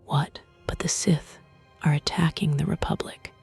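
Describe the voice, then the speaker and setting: A synthetic woman's voice playing a resolute, questioning exiled Jedi in a video game, breathy, quiet and flat. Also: deep voice, moderate pace, soft background music, full character voice. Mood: questioning, insistent. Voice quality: breathy, whispery.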